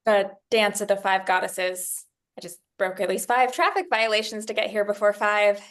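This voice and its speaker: A woman's voice: mid-range voice, normal speed, fairly bright tone, natural voice. A woman playing an eager, apologetic young female factory worker in a screenplay table read, hesitant and polite. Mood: nervous, friendly.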